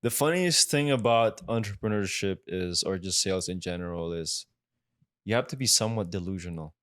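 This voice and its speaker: A man's voice: low-pitched, fairly quick, fairly bright tone. A young man hosting an interview podcast, talking earnestly and often checking in with "right?". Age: young adult. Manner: earnest, reflective, keeps asking "right?". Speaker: podcast host. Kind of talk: casual interview chat.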